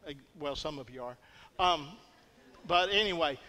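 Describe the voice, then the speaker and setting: An older man with an East Texas accent giving brisk, jovial church announcements from a pulpit. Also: a little high, pushed and bright. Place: large church sanctuary with choir behind. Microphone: pulpit mic. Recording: long reverb of a big sanctuary.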